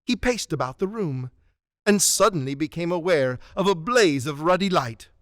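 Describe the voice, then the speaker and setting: A man giving an expressive, storybook narration for a radio drama. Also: fairly high pitch, normal speed, dry recording, studio quality.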